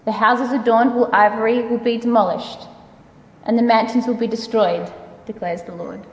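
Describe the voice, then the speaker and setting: A woman with a slightly high voice delivers a solemn, declamatory reading of scripture. She is heard from some way off in an echoing hall, with a long tail of reverb. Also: steady tone, moderate pace, recorded from a distance. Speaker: church member reading scripture aloud. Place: large reverberant room.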